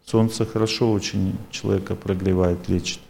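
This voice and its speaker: A man's voice: low-pitched, fairly even intonation, brisk, creaky, warm tone, a little hiss or hum. A man giving confident, repetitive step-by-step advice to a caller, lecture style. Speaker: wellness lecturer answering a caller.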